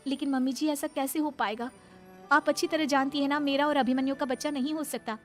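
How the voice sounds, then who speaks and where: Lady doing a wronged young daughter-in-law, cartoon drama, earnest protesting, high pitched, faint music. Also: steady tone, fairly quick, close to an everyday voice. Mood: shocked protest. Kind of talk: dramatised cartoon story dialogue.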